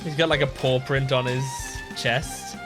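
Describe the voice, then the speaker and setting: A man's voice: medium pitch, fairly even intonation, measured pace, over music. A man hosting a reaction video with casual, playful, rambling commentary.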